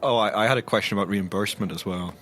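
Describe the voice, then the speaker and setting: Man acting a bright-voiced, prickly, blunt agent. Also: on the low side, racing, faint music behind. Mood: grumbling. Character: proud, grumbling married male agent, prickly and blunt. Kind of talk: conversational comedic audio drama dialogue.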